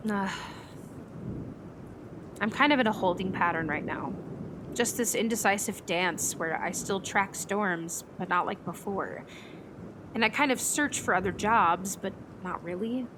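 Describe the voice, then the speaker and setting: A woman's voice: mid-range voice, background noise, close to an everyday voice. A woman playing a grieving, conflicted meteorologist in a naturalistic radio play, speaking reflectively and hesitantly. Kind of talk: naturalistic radio drama dialogue.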